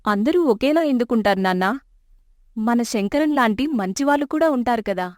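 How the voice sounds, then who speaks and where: A woman's voice: fairly high pitch, lively intonation, moderate pace, no echo, studio quality, natural voice. A woman voicing a loving young daughter in a storybook tale, devoted and pleading.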